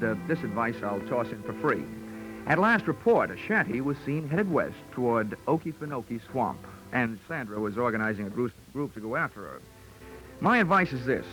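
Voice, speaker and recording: Wry American hard-boiled radio detective. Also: male voice, mid-range voice, a bit bright, poor audio. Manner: dry, sardonic, punchy delivery. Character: wry, money-minded hard-boiled male insurance investigator, narrating. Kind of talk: 1940s radio detective narration. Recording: vintage 1940s radio recording.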